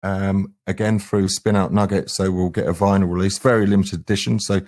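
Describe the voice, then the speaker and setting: A man with a low voice talks casually in an interview. He sounds earnest and keen, like a band frontman explaining his work. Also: flat delivery, fairly quick, a little mellow. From England, UK. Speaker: band singer and guitarist, guest.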